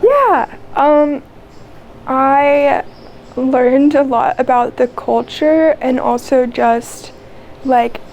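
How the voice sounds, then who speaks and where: A woman's voice: high voice, very slow, a little mellow, lo-fi sound, a little hiss or hum. A young American woman in her twenties, answering interview questions in a casual, hesitant way, with lots of ums and likes. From United States. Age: 20s. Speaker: interview guest.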